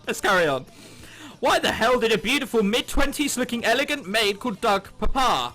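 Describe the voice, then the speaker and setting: A man's voice: very high voice, animated, brisk, sharp tone. A man reading a visual novel aloud, with sarcastic asides and swearing. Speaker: let's play host. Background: music.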